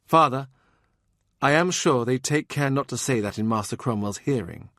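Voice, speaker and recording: A man voicing a gentle, patient and dutiful grown daughter in a historical audiobook. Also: mid-range voice, moderate pace, no echo, studio quality, natural voice. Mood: soothing.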